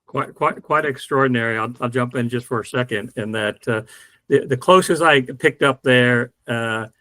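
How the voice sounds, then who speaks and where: An older man co-hosting a video call chat, animated and joking. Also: mid-range voice, moderate pace, no room sound. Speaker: co-host. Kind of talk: casual chat.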